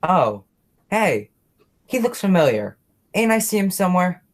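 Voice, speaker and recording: A friendly, slightly dim beat cop in a radio play, acted by an amateur performer. His talk is folksy and colloquial, at a middling pitch. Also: very animated, measured pace. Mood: affable. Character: friendly, dim policeman and would-be playwright. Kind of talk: radio play acting, high school theatre.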